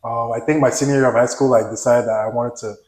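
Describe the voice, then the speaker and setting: A young man from Nigeria, a professional basketball player, talking casually as an interview guest, relaxed and soft-spoken, often restarting and trailing off. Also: medium pitch, quick pace, echoey room.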